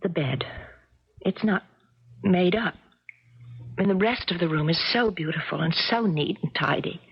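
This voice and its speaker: Tender curious American visitor, extremely breathy, hesitant, gently questioning, muffled 1970s radio drama. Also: female voice, fairly low pitch, unhurried. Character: tender, curious woman visitor, hushed and unsettled.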